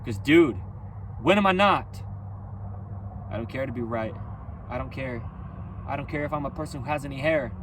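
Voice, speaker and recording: A man delivering a rhythmic, rhyming spoken-word freestyle with a preachy, emphatic and loud delivery. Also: medium pitch, background noise. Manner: loud, near-yelling delivery.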